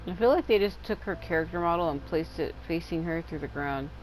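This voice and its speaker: A woman's voice: fairly low pitch, brisk, darkish tone, soft background music, poor audio. A woman giving casual commentary as she plays a game. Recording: clear background noise.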